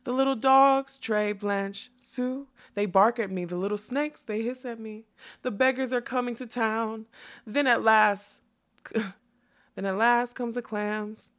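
A woman's voice: medium pitch, moderate pace, fairly bright tone, natural voice. Casting: female reader as flighty male butterfly for a storybook, theatrical, rambling, songful, whimsical nonsense-spouter. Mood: manic, singsong. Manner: theatrical, rambling, bursting into song. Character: whimsical scatterbrained male butterfly who sings and quotes nonsense. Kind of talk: acted audiobook storytelling.

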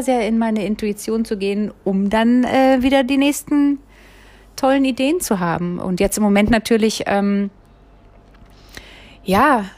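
Vlog host, female, light Berlin accent, chatty and rambling, mid-pitched voice. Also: a little mellow. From Berlin, Germany. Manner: chatty, rambling, many filler sounds. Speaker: vlogger. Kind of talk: casual personal monologue. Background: phone ringing.

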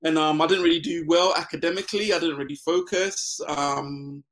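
A man's voice: fairly high pitch, brisk. Laid-back man from Ghana. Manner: relaxed, laughs often, many fillers. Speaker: interview guest, business founder. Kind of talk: casual interview conversation.

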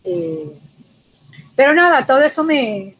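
A middle-aged Dominican woman with a fairly high voice recounts her life as a guest, stalling on fillers and repeating words as she goes. The sound is dull and lo-fi, with faint noise underneath. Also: lively intonation, slow. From Dominican Republic. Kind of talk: personal life story in an interview.

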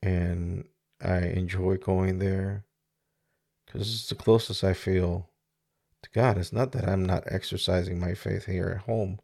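A man in his forties from the Dominican Republic reflects aloud on his own podcast, speaking into a good studio microphone. His voice sits deep and flat, and it drags with gravel as he moves slowly through halting, searching thoughts. He pauses and restarts often. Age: 40s. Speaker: podcast host. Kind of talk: reflective solo podcast monologue. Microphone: studio microphone with mixer.

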